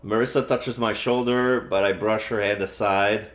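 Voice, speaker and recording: Low-voiced man streaming in a roomy space. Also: steady tone, moderate pace, a bit bright. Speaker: streamer playing a visual novel. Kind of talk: casual livestream, reading game text aloud. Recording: roomy sound, faint game music. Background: faint game music.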